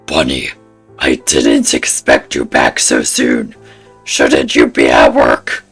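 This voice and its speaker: A woman acts out a gruff, cranky landlord from a visual novel game. His voice is heavily gravelly and hard-edged, and he talks slowly and sternly, like a blunt man laying down rules, with music behind him. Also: flat delivery, full character voice. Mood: cranky, dismissive. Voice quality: gravelly, creaky put-on low voice. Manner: slow, stern, scolding.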